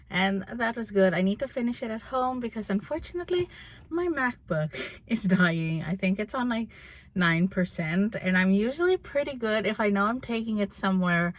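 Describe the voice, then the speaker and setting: A young woman chatting casually and candidly to her vlog audience in a rambling, animated way. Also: medium pitch. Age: young adult. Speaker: vlogger.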